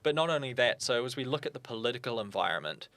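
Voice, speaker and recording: A young New man talks as a podcast guest. He makes his case in an earnest, fluent way, tossing in quick asides, and his voice sits at an ordinary middle pitch. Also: lively intonation, fairly bright tone, no room sound. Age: young adult. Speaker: guest, firearm owners' spokesperson. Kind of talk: casual interview chat.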